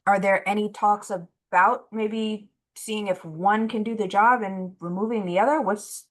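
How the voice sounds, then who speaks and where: A woman with a mid-pitched voice hosts a relaxed interview. She asks curious follow-up questions in a supportive, encouraging way. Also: unhurried. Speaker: podcast host. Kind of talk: casual interview chat.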